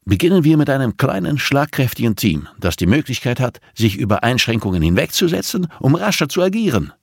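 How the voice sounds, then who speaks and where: A man's voice: medium pitch, very animated, a little fast, creaky, breathy edge, no room sound, studio quality, voice pushed up, exaggerated voice. A man voicing an irascible, sardonic male investigator in an audiobook, defiant, cutting and insistent. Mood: determined. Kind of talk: audiobook character dialogue.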